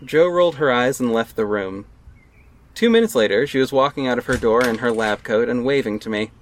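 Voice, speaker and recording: A man's voice: medium pitch, expressive, a little fast, a little hiss or hum. Wry young man narrating a drama. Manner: wry, casual, conversational narration. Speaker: narrator and lead actor. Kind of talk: first-person audio drama narration. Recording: music bed underneath.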